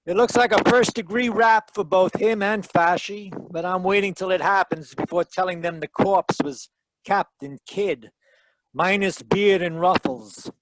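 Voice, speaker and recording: Male actor as wry 1940s radio private eye: smooth-talking, theatrical, higher-pitched, dry sound. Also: steady tone, a little slow, no echo, rough recording. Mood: wry report. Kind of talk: 1940s radio detective acting.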